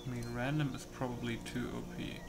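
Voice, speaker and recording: A man's voice: mid-range voice, little pitch movement, a little fast, noisy background. A man giving casual gameplay commentary, thinking aloud and muttering hesitantly to himself. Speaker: gamer hosting a playthrough. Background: game music.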